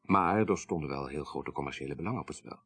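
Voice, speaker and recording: An actor with a Netherlands Dutch accent playing an articulate, grieving professor in a radio crime drama, speaking precisely with dry irony. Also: male voice, a bass voice, fast, a bit bright, slightly rough, full character voice. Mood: lecturing. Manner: precise, lecturing, dryly ironic. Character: grieving, articulate male professor, child of a murdered minister.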